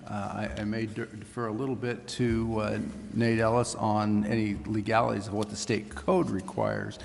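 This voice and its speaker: A man speaking as a city official, giving measured, deferential, formal explanations at a public meeting. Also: fairly low pitch, creaky. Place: city council chamber. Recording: echoey chamber with faint noise.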